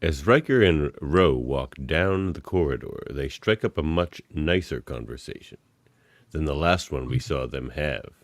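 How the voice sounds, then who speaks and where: A man's voice: a bass voice, lively intonation, measured pace, no echo. A man reading stage directions as an amused narrator of an amateur radio play.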